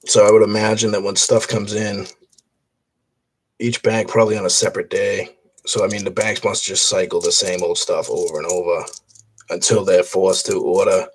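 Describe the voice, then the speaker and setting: A man's voice: low-pitched, little pitch movement, normal speed, rough recording. A man chatting casually in a live stream while sorting coins, rambling with many fillers and restarts. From Boston area. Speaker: coin roll hunter streaming.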